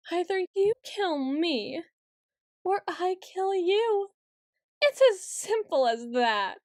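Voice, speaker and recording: Girl doing a snooty tsundere vampire lady for asmr roleplay, high voice, super dramatic swoopy delivery, bossy and formal. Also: dry recording, clean studio sound, exaggerated voice. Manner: imperious, dramatic, archly formal. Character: haughty, ancient tsundere female vampire, theatrical and petulant. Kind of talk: scripted ASMR roleplay monologue.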